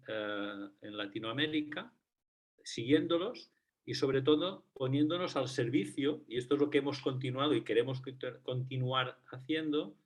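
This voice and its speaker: A man with a Catalan accent, a researcher speaking as a debate guest, hesitant with many fillers and restarts. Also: medium pitch. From Catalonia, Spain. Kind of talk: debate talk.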